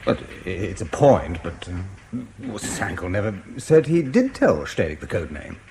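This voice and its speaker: Over clear background noise, a suave intelligence man speaks in a low voice with very mobile intonation. His radio drama delivery is clipped, polite and quietly needling. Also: sing-song, moderate pace. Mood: wary. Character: suave male British intelligence interrogator, mild but needling.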